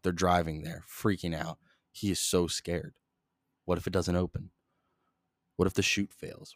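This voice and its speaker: A young man hosting a solo podcast, rambling in a flat monotone, swearing constantly and jumping between topics, close to the mic. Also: deep voice, dry recording. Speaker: podcast host.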